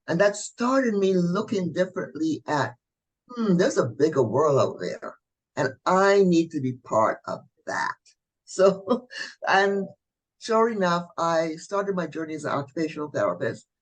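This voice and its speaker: A woman's voice: fairly low pitch, low-quality recording. Chatty woman telling her story. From Barbados. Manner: lively, chatty, warm asides like "honey". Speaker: guest, transformative coach. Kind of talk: interview, personal story.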